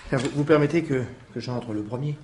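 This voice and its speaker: Man acting police deputy, medium-pitched voice, cautious, practical, deferential, faint noise behind, radio mystery. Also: fast, a little mellow, close to an everyday voice. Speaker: police detective's subordinate. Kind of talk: 1950s-style French radio mystery acting.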